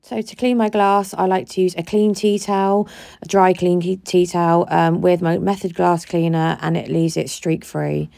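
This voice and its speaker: A woman giving a relaxed, chatty voice-over that explains each step with frequent fillers. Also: on the low side, normal speed, vocal fry. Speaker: home cleaning vlogger. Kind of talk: casual voice-over narration.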